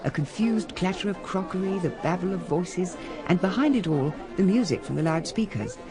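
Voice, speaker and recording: An actress playing a composed, genteel middle-aged English lady in a radio drama, speaking with measured grace. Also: lowish voice, lively intonation, over music, natural voice. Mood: grave recollection. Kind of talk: radio drama acting and first-person narration.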